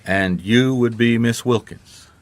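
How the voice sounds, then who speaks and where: An actor playing a wry, sardonic, world-weary private detective who narrates a noir radio mystery in a folksy, deadpan way. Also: male voice, medium pitch, slow, natural voice. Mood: patient, dry. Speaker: detective and first-person narrator.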